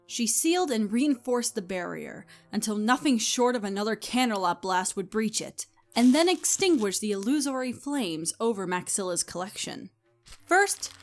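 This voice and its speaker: A woman reading scripted story narration as the narrator, in an expressive storytelling manner. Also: medium pitch.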